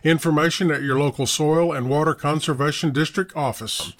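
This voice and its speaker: A man's voice: a little high, brisk, dry recording. A man hosting a farm radio program, reading sponsor messages in a scripted announcing style from a studio. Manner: reads sponsor messages and sayings in an even studio voice. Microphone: studio microphone. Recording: dry studio sound with faint music.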